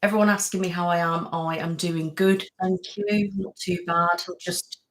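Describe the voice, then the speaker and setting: A young woman with a low voice chats casually with her livestream viewers, thanking them by name. She talks in a friendly, rambling way, with plenty of "um" and "literally", and sounds worn out. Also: fairly even intonation, moderate pace, low-quality recording. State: tired from a house move. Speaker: ASMR channel host. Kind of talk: casual live-stream chat reading comments.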